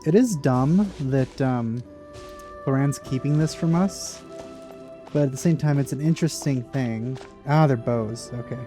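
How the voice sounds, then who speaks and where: Male let's player, mid-pitched mellow voice, casual, musing, informal, faint game music behind. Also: unhurried. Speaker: let's play video creator. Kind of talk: casual gameplay commentary.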